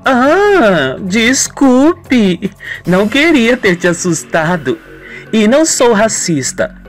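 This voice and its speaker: A man's voice: sits high, big pitch swings, slow pace, faint music behind, pitched above the performer's own voice, full character voice. A man voicing a scheming, ambitious young woman villain, coaxing, playful and mocking, in a radio soap. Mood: mocking, bossy.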